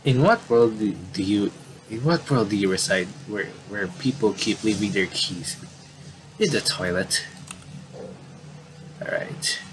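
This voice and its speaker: A man's voice: lowish voice, measured pace, long pauses, noisy background. A man doing casual let's play commentary, reading game text aloud and rambling a little as he repeats and rephrases himself. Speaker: gamer reading a visual novel aloud with commentary.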